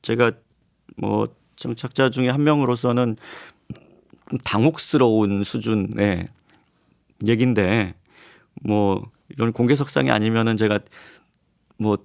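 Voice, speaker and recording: A man, a professor, answering questions on a radio current-affairs show in a steady, explanatory way, with some hesitant fillers. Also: lowish voice, measured pace, vocal fry, long pauses, clear, resonant tone, dry recording, studio quality. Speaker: political science professor guest. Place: radio studio. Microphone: studio microphone.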